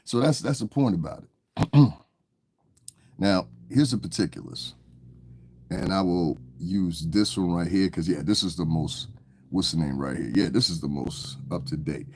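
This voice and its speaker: A man's voice: deep voice, animated, unhurried, pauses often, slight background noise. A man with a stuffy cold, giving rambling casual livestream commentary to his viewers, often checking they understand. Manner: rambling, talks straight to viewers, often asks "you understand". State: congested from a cold.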